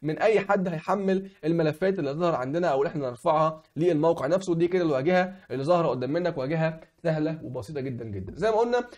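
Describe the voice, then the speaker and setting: A young Egyptian man with an Egyptian Arabic accent and a voice hoarse from a cold walks through a website in a screen-recorded tutorial. He keeps checking in with the viewer, asking "okay?". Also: on the high side, expressive, racing, few pauses, darkish tone. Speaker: online tutorial host.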